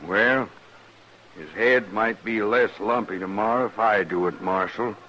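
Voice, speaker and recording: An American actor playing a wary, plain-spoken cowhand in a 1950s Western radio drama, gruff and reluctant to fight. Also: male voice, lowish voice, measured pace, pushed and bright, highs cut off. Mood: grudging respect. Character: wary cowhand backing down from a gunfight. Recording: noisy old radio recording.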